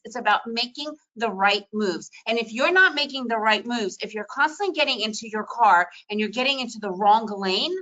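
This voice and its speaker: A middle-aged woman from the former Soviet Union, a native Russian speaker, giving an energetic, emphatic webinar talk on trading. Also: fairly high pitch, highs cut off. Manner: energetic, emphatic, repetitive phrasing. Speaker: trading educator. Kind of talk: webinar presentation.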